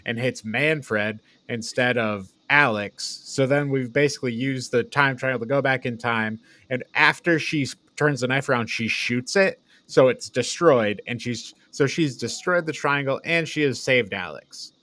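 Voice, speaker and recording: A man's voice: medium pitch, moderate pace, few pauses, sharp tone, no echo. A man co-hosting a podcast, chatting casually and informally as he retells and reviews a movie in loose paraphrase. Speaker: podcast host.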